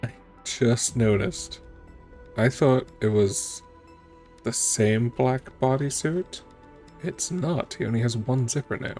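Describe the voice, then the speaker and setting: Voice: medium pitch, takes it slowly, warm tone, soft background music. A streamer giving casual, informal gameplay commentary in a musing manner. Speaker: streamer playing and reacting to a game.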